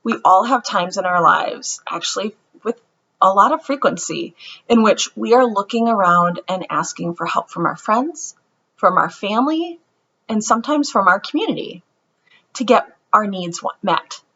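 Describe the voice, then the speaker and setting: A woman introducing a picture book to young children, speaking in simple, deliberate phrases as a read-aloud storyteller. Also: medium pitch, lively intonation, bright, forceful tone, lo-fi sound.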